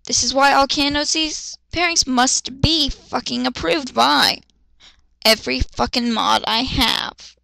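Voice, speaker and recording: A woman's voice: high voice, a little slow, gravelly, bright, forceful tone, dry recording, rough recording. A woman doing an amateur dramatic reading, breaking in with exasperated, sweary, sarcastic commentary in a theatrical way. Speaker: amateur dramatic reader and critic.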